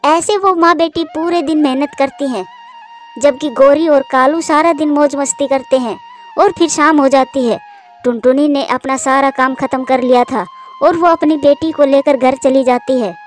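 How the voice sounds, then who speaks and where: A woman's voice: sits high, fairly even intonation, moderate pace, faint music behind. A woman reading scripted narration for a children's story.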